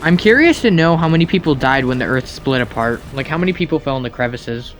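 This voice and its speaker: A man's voice: medium pitch, animated, fast, a bit bright, faint music behind. A man giving mocking, nitpicking commentary on game cutscenes in an incredulous, sarcastic manner. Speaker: video commentator.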